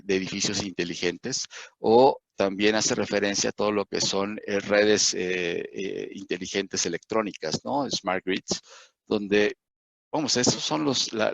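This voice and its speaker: A man with a Mexican accent giving a webinar presentation over slides, halting, with many fillers and restarts. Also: fairly low pitch, gravelly, a bit bright, no room sound. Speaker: webinar presenter on investment indices. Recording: dull, lo-fi sound.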